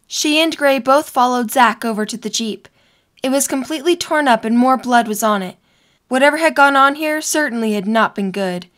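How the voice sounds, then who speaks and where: A woman's voice: high-pitched, moderate pace, fairly bright tone. A young woman reading scripted story narration for an audio drama.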